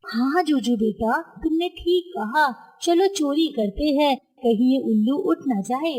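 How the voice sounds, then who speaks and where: A woman's voice: sits high, lively intonation, a little slow, darkish tone. A woman voicing a sly, greedy mother crow in a cartoon story. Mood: sneaky, pleased.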